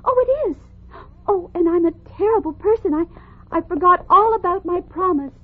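Pampered young princess, very high girlish voice, breathless, theatrical, fairy-tale radio drama, tinny old recording. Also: animated, moderate pace. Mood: flustered, apologetic.

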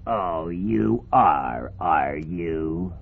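Cranky talking frog, low gravelly croak, gruff demands, radio fairy tale, noisy old recording. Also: male voice, animated, drawn-out words, narrow, tinny audio, heavily acted. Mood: gruff, impatient. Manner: gruff, bossy, croaking ribbits.

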